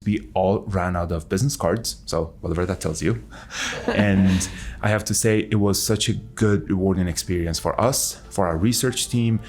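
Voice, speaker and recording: A man's voice: low-pitched, normal speed, a little mellow. A man who works as a researcher and mentor, talking reflectively in an interview.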